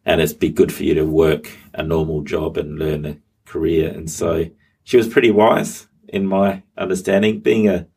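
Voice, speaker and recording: A man's voice: low-pitched, steady tone, moderate pace, vocal fry, darkish tone, husky. A middle-aged man, a pastor, telling his story as an interview guest, hesitant with many ums and restarts. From Australia. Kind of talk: interview guest, personal testimony.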